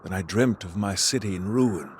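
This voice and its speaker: Wry, weary male sea king, played by an actor; dry lines, lowish voice. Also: moderate pace, slight background noise, natural voice. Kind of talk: video game cutscene acting.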